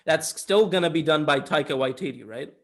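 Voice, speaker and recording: A man's voice: a little high, brisk, a bit bright. A man co-hosting a podcast in casual film chat, informal and loose-tongued with the odd swear word. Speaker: podcast co-host.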